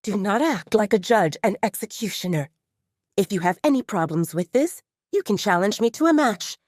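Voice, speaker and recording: A woman voicing a stern, protective female werewolf second-in-command in an audio drama, firm and sharp-tongued. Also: mid-range voice, expressive, normal speed, dry recording, clean studio sound, natural voice. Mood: snapping, challenging. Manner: firm, sarcastic.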